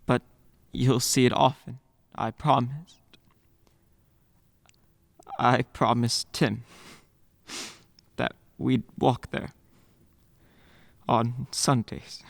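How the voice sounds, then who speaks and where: A gentle, grieving father played by a man with a mid-pitched, creaky voice. He is tender and halting, in a dryly recorded old-time radio drama. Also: little pitch movement, no echo, clean studio sound. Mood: subdued sorrow. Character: gentle, heartbroken father mourning his child.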